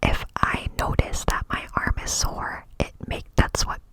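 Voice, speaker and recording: A young woman telling a personal story in a chatty whisper, with many fillers. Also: measured pace. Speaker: vlogger.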